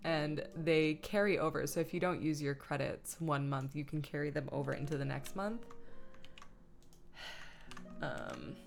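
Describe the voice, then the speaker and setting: A woman hosts a relaxed livestream in an unhurried, slow delivery, her voice slightly on the low side. She is silly and self-deprecating, drifting between chatting to viewers and muttering to herself as she works. Music with plucked guitar plays clearly behind her. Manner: playful, silly, self-mocking asides; mutters and hums to herself while working. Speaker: streamer and host. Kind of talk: casual livestream chat while editing. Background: background guitar music.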